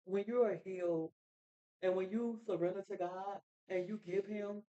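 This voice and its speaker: An earnest woman adds to a faith conversation in a steady, sermon-like way, affirming the others as she goes. Her voice sits at a middle pitch. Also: normal speed, highs cut off. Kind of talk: casual faith talk.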